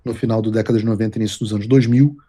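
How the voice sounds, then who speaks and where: A man's voice: on the low side, steady tone, very fast, mellow. A man from Brazil giving emphatic, lecturing political commentary as host of his show. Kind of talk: opinion commentary.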